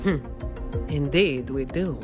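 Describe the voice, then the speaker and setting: An actress playing a composed, world-weary professional fighter with a dry, measured delivery in a video game. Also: female voice, low voice, over music.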